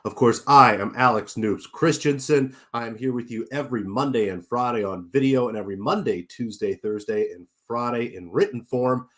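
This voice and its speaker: A man's voice: mid-range voice, normal speed, few pauses, a bit bright. A man hosting a basketball betting show, sounding nasal as he gets over a cold, chatting casually with plenty of fillers. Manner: chatty, many fillers and restarts. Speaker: sports betting show host. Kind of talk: casual betting analysis video.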